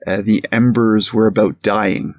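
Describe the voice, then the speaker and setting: A man's voice: fairly low pitch, level tone, a little slow, darkish tone, no echo, muffled recording. A man playing a precise, deferential police captain giving hesitant, formal testimony in a courtroom reenactment. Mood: measured, factual. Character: careful, plain-spoken male police captain testifying as a witness. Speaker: witness.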